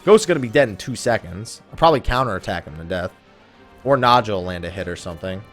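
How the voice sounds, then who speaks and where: A man's voice: lowish voice, lively intonation, quick pace, fairly bright tone, faint music behind. A man giving casual let's play commentary on a video game, swearing and griping with sarcasm. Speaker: host of a gaming let's play series.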